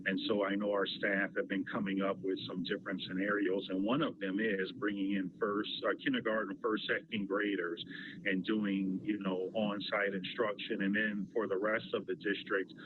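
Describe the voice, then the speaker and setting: Tinny, noisy line, low-voiced American man. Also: level tone, normal speed. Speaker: school official giving remarks. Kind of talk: spontaneous explanation in a meeting. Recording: muffled phone-like call, clear noise.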